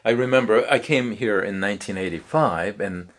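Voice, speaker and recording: A pastor hosts a church interview. His voice is very low, and he keeps the talk going with frequent short affirmations. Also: moderate pace, a bit bright. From Korea.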